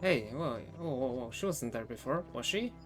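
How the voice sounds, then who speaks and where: Very low-voiced woman, casual gamer. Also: lively intonation, moderate pace, music in the background. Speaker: gamer reacting to gameplay. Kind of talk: casual gameplay commentary.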